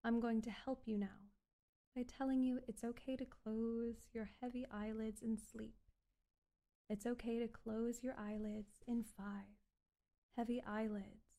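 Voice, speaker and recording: A woman performing an ASMR hypnosis roleplay as a calm, controlling doctor, speaking in a soothing, repetitive, coaxing way. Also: on the high side, measured pace, gravelly. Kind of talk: ASMR hypnosis roleplay, guided relaxation script.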